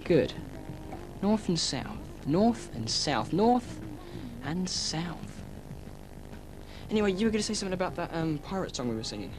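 A man's voice: high voice, very animated, moderate pace, long pauses. A young male actor playing a cheeky, boastful teenage boy who spins tall tales and schemes to start a band, in a 1990s children's TV drama. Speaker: band member. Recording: noisy old VHS tape.

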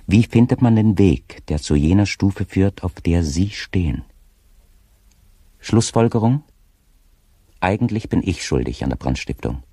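An actor playing a tormented, guilt-ridden official slipping into madness, reading his diary in a brooding, deliberate way in an audio drama. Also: sits low, mellow, slightly rough, no echo, studio quality, exaggerated voice.

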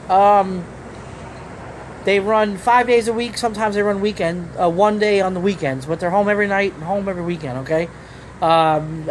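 A man's voice: high voice, low-quality recording. Casual guy in his thirties, phone-at-arm's-length vlog outside, chatty and rambling, with faint traffic noise behind. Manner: rambling, filler-heavy, keeps saying okay. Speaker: trucker vlogger. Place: outdoors under a building awning. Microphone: phone camera at arm's length.

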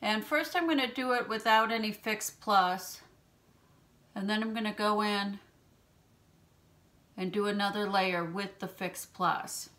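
A woman in her fifties hosting a makeup tutorial from a small room at home, explaining each step in a gentle, chatty way and clearing her throat now and then from allergies. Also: mid-range voice, measured pace, long pauses. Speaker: beauty channel host.

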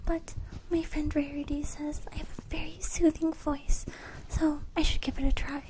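A young woman puts on a shy, gentle cartoon pony who whispers softly and hesitantly, as if unsure of herself. The voice sits high but sounds soft and mellow, right up against the mic. A faint hiss sits underneath. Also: level tone, moderate pace, close to an everyday voice. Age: young adult. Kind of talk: whispered ASMR role play. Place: small room at home. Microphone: studio mic close to the mouth.

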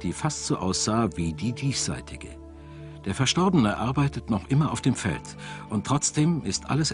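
A man reading scripted documentary narration in German voice-over style. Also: fairly low pitch. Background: background music.

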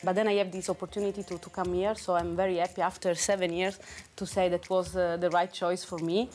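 A woman's voice: on the low side, level tone, a little fast, a little mellow. A woman in her thirties with an Italian accent, a native Italian speaker answering interview questions in a cheerful, chatty way. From Italy. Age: 30s. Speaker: parliamentary assistant. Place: office building. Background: faint background music.

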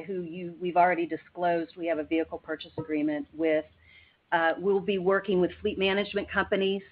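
Female executive, low-ish voice, measured corporate answers, heard over a narrow phone line. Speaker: company executive on an earnings call. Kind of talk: formal business question-and-answer.

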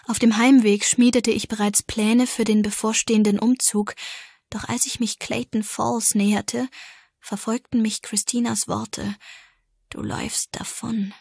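A woman narrates an audiobook in the first person. Her reading is expressive and scripted, with a slightly husky tone and a middling pitch. The sound is very dry and close. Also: no echo.

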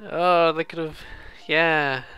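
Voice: mid-range voice, fairly even intonation, slow pace, bright, forceful tone, smooth, soft background music. A British let's player giving casual, rambling, amused commentary on a visual novel, with some swearing. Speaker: let's play host.

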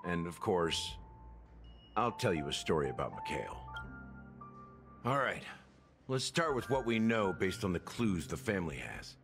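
A laid-back middle-aged bartender from a video game, with a low voice and expressive swings. He is suave and wistful, talking casually with a touch of theatre over music. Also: measured pace, pauses often, exaggerated voice. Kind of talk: English game voice acting. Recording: clean game dialogue over background music.

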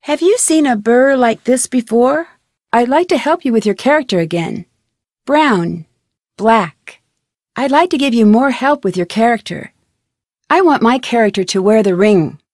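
A young American amateur sleuth for a mystery video game. Her voice sits a little high, earnest and polite, with an inquisitive, determined way of questioning. The recording is close and dry, like studio game dialogue. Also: expressive, measured pace, a little mellow, no room sound. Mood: friendly, polite. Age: young adult. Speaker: video game detective protagonist. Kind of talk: scripted adventure game voice acting.

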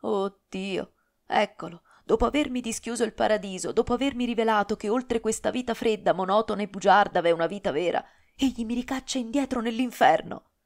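A woman's voice: fairly high pitch, fairly quick, darkish tone, voice pushed up, natural voice. A woman voicing a passionate twenty-year-old noblewoman who pleads and protests with strong feeling. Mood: vehement. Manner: emotional, pleading and vehement.

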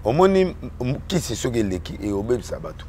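Homme originaire du Congo qui parle en mélangeant le français et le lingala, sur un ton emphatique, en répétant ses mots dans une discussion politique. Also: male voice, mid-range voice, sing-song, fast, crowd noise. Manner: mixes French and Lingala, emphatic, repeats words. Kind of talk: animated political chat.

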